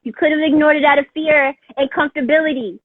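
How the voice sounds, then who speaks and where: A woman's voice: high-pitched, steady tone, quick pace, no echo. A woman in her thirties chatting casually as interviewer, effusive and encouraging, with lots of fillers. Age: 30s. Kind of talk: casual chat. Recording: tinny phone-like dry sound.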